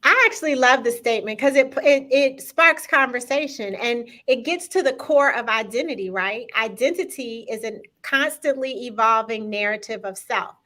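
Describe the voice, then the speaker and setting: A woman hosts a live-streamed talk with a bright, clear, fairly high voice. She talks straight to her audience in an upbeat, engaging way and reads out viewer comments as she goes. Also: normal speed, few pauses. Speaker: host.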